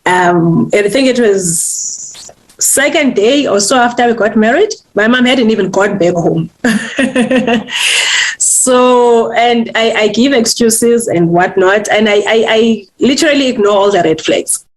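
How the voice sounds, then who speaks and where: A woman's voice: a little high, low-quality recording. Candid woman author. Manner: candid, halting, with frequent ums and restarts. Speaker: author and guest. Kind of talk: personal storytelling in an interview.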